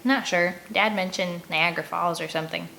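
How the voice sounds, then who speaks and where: A woman's voice: fairly low pitch, fairly even intonation, moderate pace, gravelly, pushed and bright, slight background noise. A woman voicing a snarky, defensive kid scout talking back to friends in an audiobook, pointed and casual.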